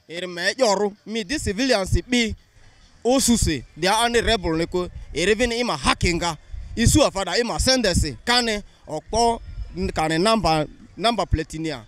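A man's voice: high voice, normal speed. A young man answering a street interviewer outdoors into a handheld mic, explaining his views earnestly, mostly in a local language. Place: busy town street outdoors.

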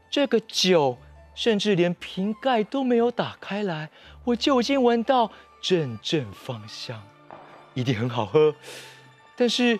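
Man acting out an excitable, exclaiming storybook guest. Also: on the high side. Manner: exclaiming, theatrical. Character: first guest, eager male storybook drinker, excitable.

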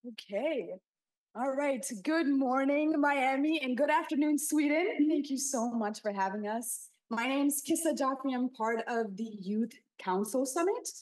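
A woman's voice: high voice, measured pace, a little mellow. A young woman from Pakistan asking a prepared question politely and eagerly. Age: young adult. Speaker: audience questioner.

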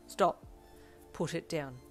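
A woman's voice: low voice, takes it slowly, faint music behind. A woman voicing a prim, proper young man. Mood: flustered. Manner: stiff, proper, fussy diction. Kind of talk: audiobook character voice.

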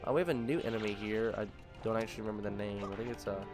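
A man's voice: fairly low pitch, racing. A man with a slightly nasal voice from allergies, casually talking through a video game and thinking aloud with frequent ums. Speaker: let's play host. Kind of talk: casual gameplay commentary. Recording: game music behind the voice. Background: game music.

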